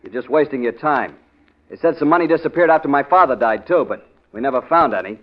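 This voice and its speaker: Actor as fast-talking, defensive man in radio drama. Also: mid-range voice, animated, close to an everyday voice. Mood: defensive, irritated. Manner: insistent, dismissive. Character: defensive, irritable nephew of a widow. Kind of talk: 1940s radio drama acting. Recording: muffled old radio drama recording.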